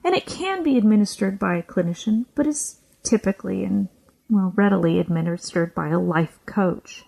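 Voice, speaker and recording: A woman presenting a scripted explanatory podcast segment in a measured, lecturing manner. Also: medium pitch, lively intonation, creaky, warm tone, low-quality recording.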